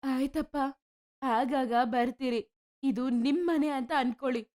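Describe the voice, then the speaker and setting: A woman's voice: high voice, steady tone, slow, darkish tone. A woman voicing a kindly, concerned middle-aged village mother with a motherly, admonishing manner. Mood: grateful. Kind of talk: animated moral story dialogue.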